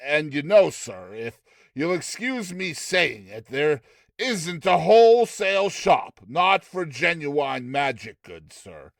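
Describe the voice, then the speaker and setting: A man's voice: fairly high pitch, very animated, slow, creaky, no room sound, voice pushed up, heavily acted. A man voicing an affable, uncanny shopkeeper and conjurer in a storybook reading, courteous and emphatic in a theatrical way. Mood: sly, affable.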